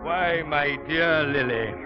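Slow, pompous professor. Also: male voice, on the high side, a loud crowd. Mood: genial. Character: ponderous, courtly, food-loving professor, absent-minded. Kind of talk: 1940s radio acting. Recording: dull radio recording, music behind.

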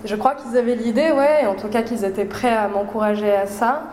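Parisian-born woman chef, mellow voice, roomy room with faint background noise, lots of ums. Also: fairly high pitch, a little fast. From Paris, France. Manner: relaxed, hesitant with many fillers and restarts. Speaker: chef and restaurant owner, guest. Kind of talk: casual interview.

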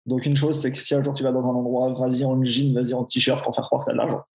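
A man's voice: medium pitch, flat delivery, a little fast, vocal fry, roomy sound. A man in his forties with a Swiss French accent, a personal trainer talking frankly and casually in an interview. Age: 40s. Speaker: personal trainer, podcast guest.

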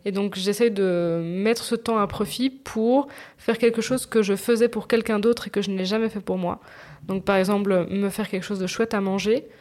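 A woman's voice: mid-range voice, fairly even intonation, moderate pace, a little mellow. A woman speaking informally and candidly as a podcast co-host, in slangy, confessional conversation. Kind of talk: casual, confessional podcast chat.

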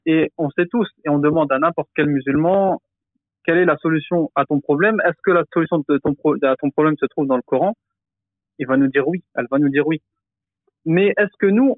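A man's voice: on the high side, no echo, phone-quality sound. Male religious teacher, hesitant explainer, dry close sound. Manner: explanatory, hesitant with frequent fillers and restarts. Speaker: Quran teacher and imam. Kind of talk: podcast discussion.

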